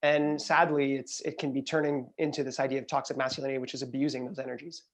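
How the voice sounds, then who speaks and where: A man speaking as a panelist over a video call, earnest and measured, often checking in with "right?". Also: medium pitch, flat delivery, low-quality recording. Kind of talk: panel discussion.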